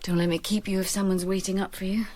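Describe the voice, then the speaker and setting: An actress playing a weary, guarded woman with a past, speaking in a hushed, languid, teasing way in a radio drama. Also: mid-range voice, flat delivery, fast talker, natural voice.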